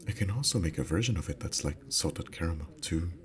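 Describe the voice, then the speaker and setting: Very deep, soft-spoken boyfriend played by a man. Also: lively intonation, quick pace. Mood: affectionate, cozy. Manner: soft, intimate, slipping into whispers. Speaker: voice actor. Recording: faint ambient background noise.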